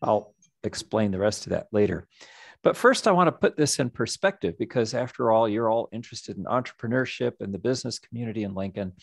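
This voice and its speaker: A middle-aged man speaks in a low, gravelly voice, recounting his path in a calm, reflective way. The sound is dry and close, as on a video call. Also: no room sound. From United States. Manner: reflective storytelling, measured. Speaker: nonprofit executive director. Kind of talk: presentation over video call.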